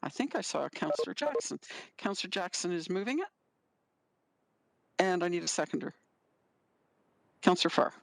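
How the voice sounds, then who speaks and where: A woman chairing a council committee, running through votes and motions in a brisk, procedural way. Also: lowish voice, big pitch swings, creaky, no echo.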